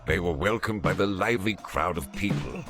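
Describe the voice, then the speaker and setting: A man's voice: low voice, normal speed. A man delivering scripted narration for a fantasy game story. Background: music.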